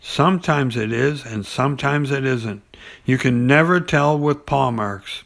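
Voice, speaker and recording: A man voicing a mild, slow-witted storybook bear who muses in a puzzled, deliberate manner. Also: mid-range voice, gravelly, a bit bright. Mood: musing. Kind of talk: children's storybook character voice.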